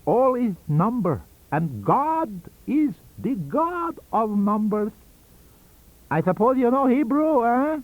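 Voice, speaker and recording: A man voicing a cunning, wheezy old foreign scientist-magician in an audiobook, with a theatrical, halting and emphatic delivery. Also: very high voice, big pitch swings, pitched above the performer's own voice, exaggerated voice. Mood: mystical, instructing. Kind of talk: audiobook character reading. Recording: dull lo-fi audio cassette.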